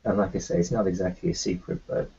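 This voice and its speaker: Halting, gravelly-voiced man roleplaying. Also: low voice, fairly even intonation, dark tone. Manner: hesitant, halting speech with many ums and restarts. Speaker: tabletop player. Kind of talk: in-character roleplay as a doctor. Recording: muffled lo-fi recording.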